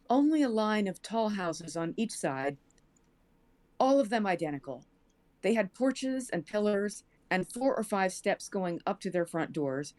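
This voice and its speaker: A woman's voice: mid-range voice, darkish tone. An American woman reading fiction aloud as a storyteller. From United States. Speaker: show cast member reading a story aloud.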